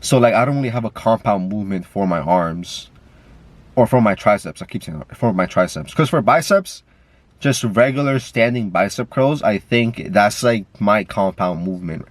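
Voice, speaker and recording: A man's voice: on the low side, expressive, normal speed, no room sound. A man with a stuffy nose, talking in a casual, rambling way with lots of fillers and swearing, filmed on a camera while driving. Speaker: vlogger. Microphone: camera mic.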